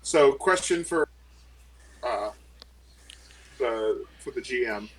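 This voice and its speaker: A man's voice: mid-range voice, animated, slow, husky, rough recording. A man playing in a tabletop role-playing game, thinking aloud hesitantly with many fillers. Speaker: player. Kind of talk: casual game chat.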